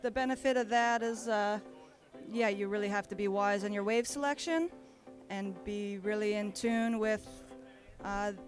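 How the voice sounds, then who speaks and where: A woman from Australia giving a casual interview as a competing bodysurfer, relaxed and rambling with lots of fillers. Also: medium pitch, measured pace. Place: outdoor beach event. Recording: loud music behind.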